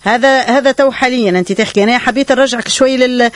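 A woman with a Tunisian accent hosting a radio phone-in show, brisk and dropping short affirmations as callers talk. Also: a little high. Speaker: radio host.

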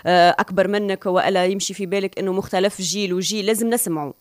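Female Tunisian call-in host. Very quick talker, dry studio sound. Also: mid-range voice, fairly even intonation, no echo. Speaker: radio call-in show host. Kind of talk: live radio hosting, chatting with callers.

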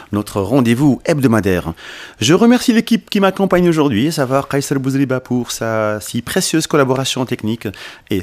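Man, radio host, studio microphone, formal welcoming delivery, mid-pitched voice. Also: a little mellow. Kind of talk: radio interview hosting.

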